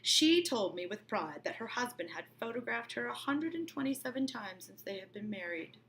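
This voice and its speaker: A woman's voice: fairly high pitch, sing-song, normal speed, fairly bright tone, a little hiss or hum. A woman teacher reading a novel aloud and breaking off for informal, colloquial explanations, often checking that listeners follow. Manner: colloquial, checks understanding with okay and right.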